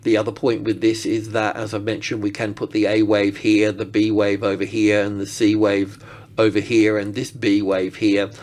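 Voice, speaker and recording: A man giving unscripted market analysis as he works through charts, rambling and halting as he thinks aloud. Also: lowish voice, level tone, a little mellow.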